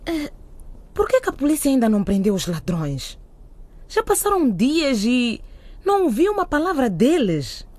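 Stubborn accusing mother in a radio drama; animated, pointed. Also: high voice, normal speed. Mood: impatient. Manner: insistent and pointed. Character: suspicious middle-aged mother, stubborn and accusing.

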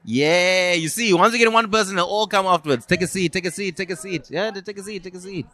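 A man's voice: high-pitched, brisk, sharp tone, no room sound. A South African man hosting a podcast, chatting casually and teasingly with a guest, often repeating words and answers. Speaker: podcast host. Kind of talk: casual vox-pop interview. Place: pre-party at a sports-match event. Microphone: handheld or table podcast microphone with headphones.

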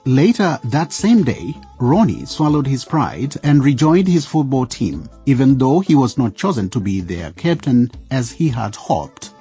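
A mellow, husky man narrates a radio drama from a script with animated intonation. The recording is dry and slightly dull. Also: mid-range voice, a little slow, few pauses, faint music behind.

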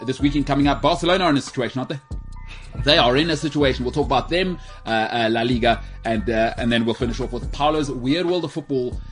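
A man hosting a casual sports talk show in a studio, animated and jokey, rambling with restarts. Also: medium pitch. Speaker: radio show host. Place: radio studio. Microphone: studio microphone.

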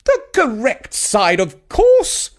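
A man's voice: very high-pitched, huge pitch swings, slow, voice pushed up, heavily acted. A man voicing a haughty, snappish elderly English grandmother in a children's storybook, scolding with indignant emphasis.

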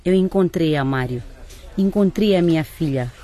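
A woman's voice: low voice, normal speed, dark tone, natural voice. An actress playing a distressed, angry mother in a radio drama, emotional and accusing. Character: distressed, angry mother confronting her husband.

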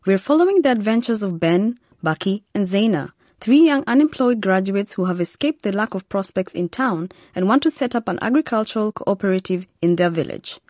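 A woman host reads a scripted introduction in a clear announcing manner. Her pitch moves lively. The studio sound is dry. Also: fairly quick, few pauses, no room sound. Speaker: program host. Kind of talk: scripted narration.

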